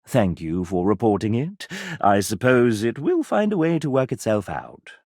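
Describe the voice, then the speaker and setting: Wildly swooping, sassy gentleman mascot. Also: on the low side, very animated, normal speed, no room sound, studio quality. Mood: conspiratorial, blithe. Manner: pompous, flamboyant, old-fashioned gentlemanly phrasing. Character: sassy dapper gentleman mascot, theatrical, cheeky, vain. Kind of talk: video game character dialogue.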